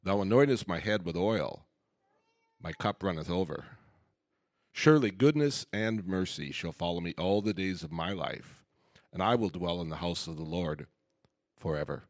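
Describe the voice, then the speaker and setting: A man with a very deep voice reads scripture aloud in a slow, solemn way. His recitation is steady and reverent, and the sound is dry. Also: no echo. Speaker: congregation member reading scripture. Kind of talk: scripture reading.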